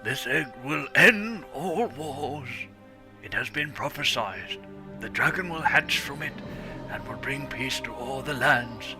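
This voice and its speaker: A man's voice: mid-range voice, expressive, slow. A man acting a noble, desperate dragon guardian in a grave, theatrical dramatic reading. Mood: urgent, solemn. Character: noble, pleading male fire dragon guardian, desperate but proud. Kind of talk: dramatic comedic script reading. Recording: loud cinematic music behind.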